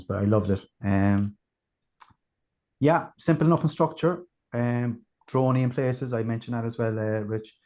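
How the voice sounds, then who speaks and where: A man hosting a casual music review chat, talking in a relaxed, chatty way with plenty of fillers. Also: lowish voice. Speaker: podcast host.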